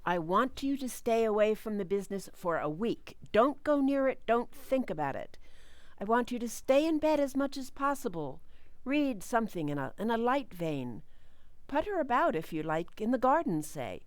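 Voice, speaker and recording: An actress playing a stern, probing doctor in a radio thriller, with clipped, authoritative delivery. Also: female voice, on the high side, sing-song, normal speed, no echo. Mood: reassuring, firm. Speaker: community theater actor. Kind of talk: old-time radio thriller acting.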